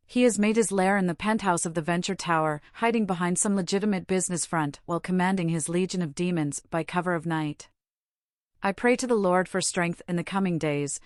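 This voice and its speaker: A woman with a fairly low voice performs scripted video game lines. Her delivery is a dramatic reading, as the protagonist of the game. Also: no echo, clean studio sound. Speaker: gamer voicing the protagonist and reading game text.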